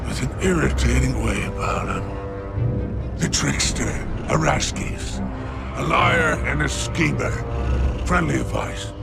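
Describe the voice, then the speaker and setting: A man playing a sly alien crime lord in a video game, speaking in a wry, theatrical, gravelly and deliberate way. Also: mid-range voice, heavily acted. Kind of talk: dramatic video game character acting. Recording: loud music under the voice.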